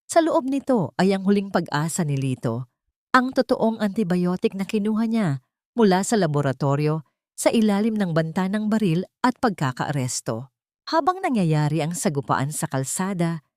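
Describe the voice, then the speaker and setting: A woman's voice: mid-range voice, darkish tone, no room sound, clean studio sound. A woman narrating a scripted dramatic story in a solemn, theatrical way. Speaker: audiobook narrator.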